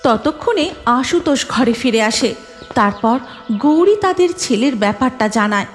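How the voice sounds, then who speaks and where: A woman's voice: on the high side, lively intonation, unhurried, faint music behind. A woman giving scripted narration of a cartoon moral story, in a reverberant recording.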